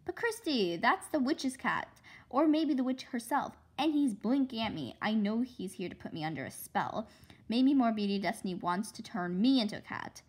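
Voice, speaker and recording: A woman's voice: on the high side, lively intonation, normal speed, a little mellow, slightly rough. A woman reading a children's book as an imaginative, anxious little girl who insists dramatically on her fears. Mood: alarmed. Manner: dramatic, emphatic. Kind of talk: children's book read-aloud.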